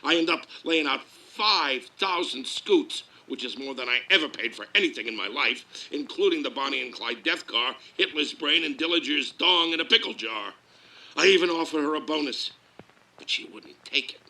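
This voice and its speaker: A man's voice: a little high, lively intonation, moderate pace, sharp tone, exaggerated voice, low-quality recording. A man playing a brash, grandiose exploitation-film producer, boastful and hammy like a carnival barker. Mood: pleading, boastful. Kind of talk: 1950s showman's pitch and memoir-style storytelling.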